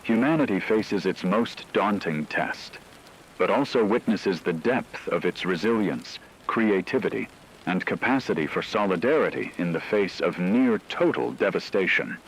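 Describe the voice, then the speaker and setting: A low-pitched, grave male news anchor voiced by a man delivers a scripted wartime radio bulletin. He speaks in measured, formal phrases, with steady noise of rain and rumble behind him. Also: low-quality recording. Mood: solemn. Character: solemn male radio anchor reporting a world war.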